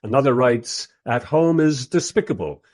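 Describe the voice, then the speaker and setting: An actor playing a bemused, wry filmmaker who narrates and interviews in a scripted radio drama. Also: male voice, mid-range voice, animated, normal speed, darkish tone, close to an everyday voice. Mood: wry, narrating.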